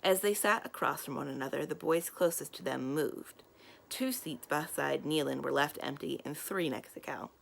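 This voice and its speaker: A woman reading a children's novel aloud as a storyteller, expressive and giving the characters their own lines. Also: low-pitched, normal speed, creaky, slight background noise.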